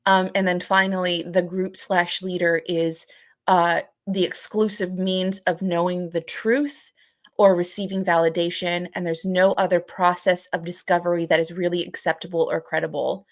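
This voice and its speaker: Lower-pitched woman podcaster, fairly even delivery. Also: no echo, clean studio sound. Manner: halting, repetitive as thoughts are worked out. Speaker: co-host of a live podcast. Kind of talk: explanatory discussion.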